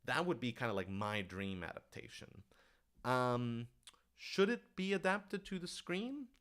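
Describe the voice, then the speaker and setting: A man's voice: medium pitch, lively intonation, unhurried, a bit bright, clear, resonant tone. A man speaking casually and earnestly on a question-and-answer livestream, enthusiastic and given to rambling asides. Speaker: writer-director answering audience questions.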